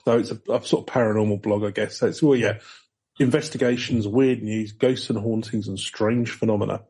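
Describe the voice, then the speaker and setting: Male podcast host, soft-edged husky tone, relaxed and dry, chatting loosely. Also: fairly low pitch, brisk. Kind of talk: casual chat, reading out an article.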